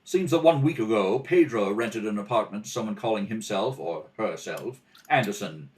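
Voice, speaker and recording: A man playing a suave, wry gentleman detective in an old radio mystery. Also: on the low side, lively intonation, normal speed, recorded from a distance, close to an everyday voice. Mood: probing. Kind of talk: old radio mystery acting, rehearsal read-through.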